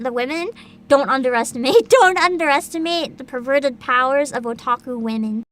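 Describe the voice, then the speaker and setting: A woman chatting casually as a livestream host, excitable and giggly, with dramatic emphasis. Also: high voice.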